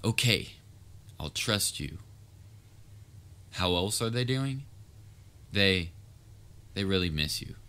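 A man's voice: low-pitched, animated, slow pace, long pauses, sharp tone, full character voice. A man playing a patient, sometimes exasperated but caring young babysitter in a roleplay audio drama, talking in a casual, coaxing way. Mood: worried, earnest. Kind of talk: roleplay audio drama, speaking directly to listener.

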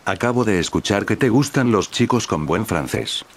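A man's voice: on the low side, animated, moderate pace. A man reading the lines of an eager schoolboy wizard bragging to a girl.

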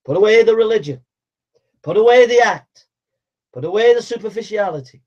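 A man delivering a fiery, exhorting sermon, hammering phrases over and over and mockingly imitating flattering preachers. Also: sits high, brisk, lo-fi sound.

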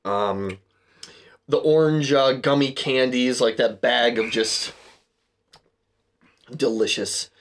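A man's voice: medium pitch, takes it slowly, pauses often, fairly bright tone. A man in his thirties co-hosting a beer review, describing flavors in detail and teasing his co-host at a table indoors. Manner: descriptive tasting talk, teasing. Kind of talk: casual chat. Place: indoor room with a tasting table.

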